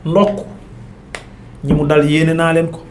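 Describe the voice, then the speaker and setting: Senegalese guy arguing politics, really high voice for a man, insistent, kinda roomy echo. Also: flat delivery, unhurried, a little hiss or hum. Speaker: political commentator on a talk show. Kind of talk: argumentative political debate, mixing Wolof and French.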